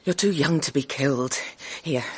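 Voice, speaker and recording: A woman's voice: sits low, moderate pace, a little mellow, breathy edge. An actress playing a tense female accomplice to a robbery in a radio drama. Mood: anguished, tender.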